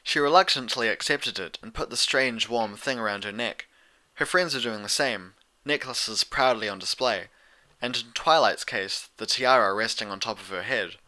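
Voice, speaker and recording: Bright-voiced man narrating a story. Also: medium pitch, normal speed. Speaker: audiobook narrator of fan fiction.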